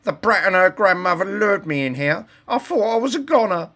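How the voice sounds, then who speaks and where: A man's voice: sits high, animated, normal speed, sharp tone, voice pushed up, heavily acted. Man voicing a coaxing, sly storybook wolf. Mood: relieved. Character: sly, preachy male wolf, predator, easily offended.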